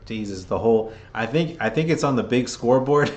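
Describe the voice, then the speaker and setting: A man giving casual, chatty play-by-play for a live chat, seated at a table at home, sounding under the weather with a voice getting hoarse. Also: mid-range voice, fairly quick, a little mellow. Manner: chatty, hyped, keeps rallying the chat. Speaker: fan livestream commentator.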